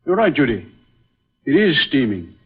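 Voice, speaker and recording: Excitable learned gentleman, tinny old radio. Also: medium pitch, moderate pace, breathy edge. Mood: awed certainty. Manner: precise, theatrical, exclamatory. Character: excitable, learned older gentleman, Judy's father. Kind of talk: 1940s radio acting. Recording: thin, phone-like old radio recording.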